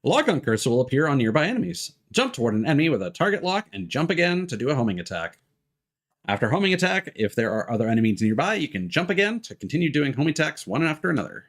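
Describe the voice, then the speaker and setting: A man's voice: medium pitch, big pitch swings, quick pace. A man streaming a video game, chatting informally, thinking aloud and reading on-screen text. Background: game music and sound effects.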